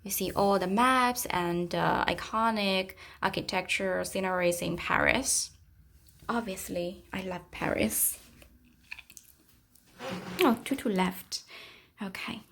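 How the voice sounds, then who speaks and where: A middle-aged woman from China with a Chinese accent, giving a reflective, casual tour of her art studio with frequent pauses and fillers. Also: mid-range voice, vocal fry, a bit bright. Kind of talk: casual guided tour narration.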